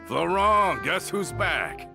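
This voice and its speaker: An actor playing a hearty, talkative gang member back from prison in an anime-style game, expressive and effusive. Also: male voice, high voice, slow, vocal fry, music in the background, full character voice. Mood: jovial.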